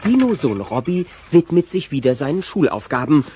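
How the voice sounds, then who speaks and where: A man's voice: on the high side, sing-song, normal speed, background noise. A man narrating a scripted audio drama for listeners. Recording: muffled cassette transfer.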